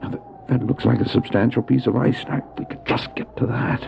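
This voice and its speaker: A man's voice: mid-range voice, fairly even intonation, a little fast, vocal fry, background noise. A man acting an anxious, determined dog-sled driver in a radio drama, telling his story earnestly and urgently. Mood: worried, thinking. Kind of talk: first-person dramatic narration, radio drama. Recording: tinny narrow-band old cassette, music behind.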